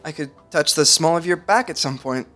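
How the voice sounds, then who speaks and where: A man's voice: fairly high pitch, fairly quick, sharp tone, soft background music. An awkward but overconfident husband in an audio sitcom. He is earnest and eager, with a breezy way of bluffing. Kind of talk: sitcom podcast acting.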